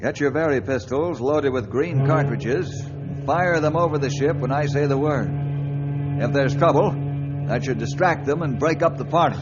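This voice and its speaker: A man's voice: mid-range voice, normal speed, over loud music, close to an everyday voice. An actor playing a hearty male ranger leader who also hosts a 1950s radio adventure show. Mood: urgent, commanding. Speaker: lead character and host. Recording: dull lo-fi 1950s radio recording.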